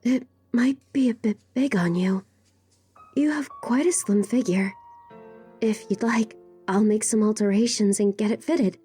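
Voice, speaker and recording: A woman's voice: mid-range voice, measured pace, dark tone, lightly acted. A woman playing a timid, courteous village seamstress who stammers, in a fan audio drama. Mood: timid, helpful. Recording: music under the voice.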